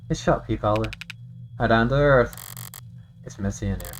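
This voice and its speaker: A man's voice: on the low side, slight background noise. A man reading a visual novel aloud on a live stream, stumbling and rereading lines, with offhand asides. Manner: halting reader who repeats and rereads lines. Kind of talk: live game read-aloud with off-script asides. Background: game music.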